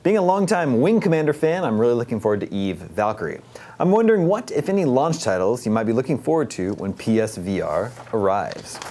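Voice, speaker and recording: A man's voice: medium pitch, sing-song, a little fast, warm tone. A man hosting a casual podcast, moving the talk along and reading out listener questions.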